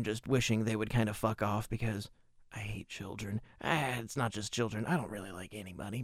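A man's voice: mid-range voice, vocal fry, a bit bright, dry recording, clean studio sound. A man hosting a podcast, telling personal stories and opinions in an emphatic, profane way. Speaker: podcast host. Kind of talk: spoken podcast commentary and personal anecdote.

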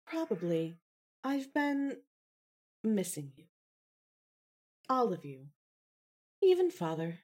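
A woman's voice: mid-range voice, very animated, takes it slowly, pauses often, darkish tone, husky, heavily acted. A woman playing a composed, articulate adult daughter, reserved but fond, in a fan fiction audio drama. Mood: fond, restrained.

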